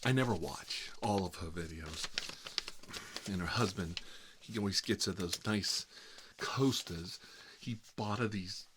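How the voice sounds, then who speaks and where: A man's voice: sits low, measured pace, pauses often, noisy background. A man playing a fussy, gossipy fellow who lives with his mother, rambling and repeating himself in an ASMR comedy role-play. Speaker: ASMR channel host. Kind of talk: ASMR comedy role-play, one-sided chat with a visitor.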